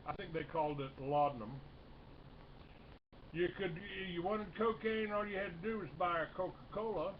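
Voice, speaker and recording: Man in his sixties, high voice, rambling talk-radio host, dull lo-fi broadcast sound with faint hiss. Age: 60s. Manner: rambling, halting, many fillers and restarts. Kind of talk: casual talk radio chat.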